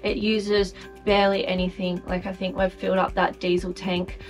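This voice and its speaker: A woman's voice: mid-range voice, little pitch movement, moderate pace, rough recording. Young aussie woman giving a caravan tour, chatty and upbeat, lots of ums, faint music under it. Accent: Australian. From Australia. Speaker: vlogger showing a caravan. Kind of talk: casual walk-through chat.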